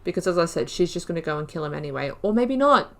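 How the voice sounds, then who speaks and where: Gaming host, female, lowish voice, talks her choices through out loud, casual and rambly. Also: very animated, quick pace. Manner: thinks aloud, rambling, corrects herself mid-sentence; casual and chatty with slangy asides. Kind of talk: let's-play commentary.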